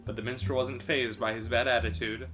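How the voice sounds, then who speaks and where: A man's voice: mid-range voice, flat delivery, brisk, fairly bright tone, soft background music. A man reading a fantasy story aloud as a podcast storyteller.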